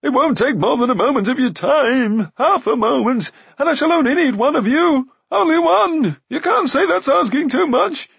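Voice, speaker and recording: A man's voice: very high voice, expressive, normal speed, gravelly, few pauses, a bit bright, dry recording, voice pushed up, full character voice. A man voicing a cowardly, self-pitying little giant in a fantasy audiobook, pleading and wheedling plaintively. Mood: frantic wheedling.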